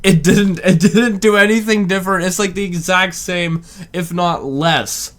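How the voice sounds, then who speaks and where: A man's voice: high-pitched, a little slow, fairly bright tone. A man hosting a reaction video, chatting casually in a rambling way with lots of "like". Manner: rambling, with frequent "like".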